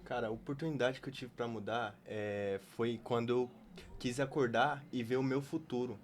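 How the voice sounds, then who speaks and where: A young man co-hosting a casual podcast, speaking haltingly as he searches for words. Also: medium pitch, fairly even intonation. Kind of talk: casual conversation.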